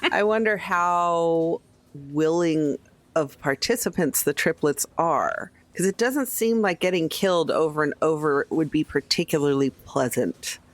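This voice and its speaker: A woman's voice: deep voice, a little slow, no room sound. A woman chatting casually as a player in a tabletop roleplaying game, with dry humor. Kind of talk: casual tabletop actual-play chat.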